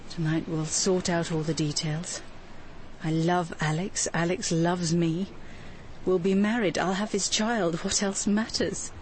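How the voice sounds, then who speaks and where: A woman's voice: low-pitched, a little mellow, slight background noise, rough recording. An actress playing an intense, self-absorbed woman musing to herself in short phrases in a radio drama monologue.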